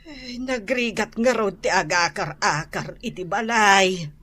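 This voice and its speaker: A woman's voice: medium pitch, sharp tone. A woman playing a frail, confused, querulous elderly mother with an Ilocano Filipino accent, sighing through a radio drama. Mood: weary, plaintive. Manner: slow, sighing, interjecting.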